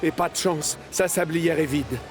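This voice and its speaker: A man narrating a scripted children's story as an expressive storyteller. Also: on the high side, normal speed. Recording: music behind narration. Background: music.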